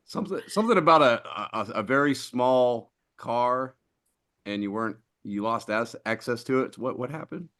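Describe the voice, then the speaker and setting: A man's voice: medium pitch, expressive, normal speed, a bit bright. A man co-hosting a podcast, teasing his co-host in playful casual chat.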